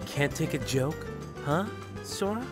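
A man's voice: fairly high pitch, expressive, slow, music in the background. A man playing a cool, teasing and pensive teenage boy in a manga dub, smug yet thoughtful.

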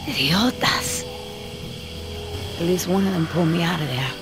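A woman's voice: on the low side, moderate pace, close to an everyday voice. A woman playing a battered, bitter survivor in a video game, muttering breathily to herself. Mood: dazed, bitter. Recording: music under the voice.

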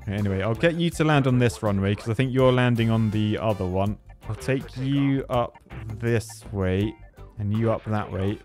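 A man's voice: on the low side, moderate pace, darkish tone, soft background music. A British man giving casual, jokey commentary as he plays a game, chatting and thinking aloud as host of the video. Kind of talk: casual live gameplay commentary.

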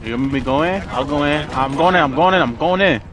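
A man's voice: a little high, brisk. A man speaking casually to teammates in an online game voice chat. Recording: game voice chat, loud gunfire noise.

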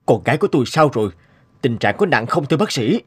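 A man voicing a gentle, devoted father in a cartoon dub, patient and concerned, with a husky voice. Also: mid-range voice, sing-song, fast. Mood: worried. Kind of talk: cartoon dubbing.

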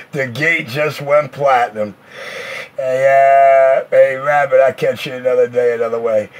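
A man's voice: mid-range voice, fairly even intonation, a little slow. A man talking slangy CB radio chatter in a boastful, swaggering patter. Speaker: CB radio operator. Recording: noisy CB radio with static.

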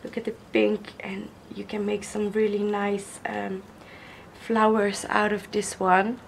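Young woman, slow and hoarse, gravelly, gushing over gifts; camera mic at a table, faint room noise. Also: mid-range voice, monotone. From Netherlands. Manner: gushing, slow, admiring, repeats praise. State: hoarse from illness. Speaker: crafter showing gifts. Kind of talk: casual unboxing vlog. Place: indoors at a wooden table.

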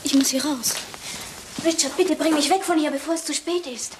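Woman playing an anxious, high-voiced young wife. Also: normal speed, natural voice. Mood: anxious, pleading. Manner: tense, pleading, evasive. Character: anxious, haunted young Italian wife in England. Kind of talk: radio play acting. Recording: hissy old radio play recording.

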